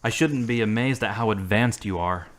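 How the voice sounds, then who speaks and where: A man's voice: lowish voice, normal speed, lightly acted. An actor playing an earnest young man recovering from an accident, who talks sincerely and asks many questions. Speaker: hospital patient. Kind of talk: amateur web drama acting.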